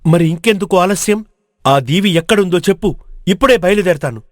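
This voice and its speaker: A man voicing a brave, determined village hero in an animated moral story, speaking in an earnest, declamatory way. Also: high voice, brisk, no room sound, clean studio sound, lightly acted.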